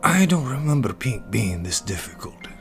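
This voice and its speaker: A man plays a grudging, inquisitive tinkerer in an audio drama. He is blunt, with a creaky voice and varied melody over soft music. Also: medium pitch, very animated, normal speed. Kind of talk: amateur audio drama acting.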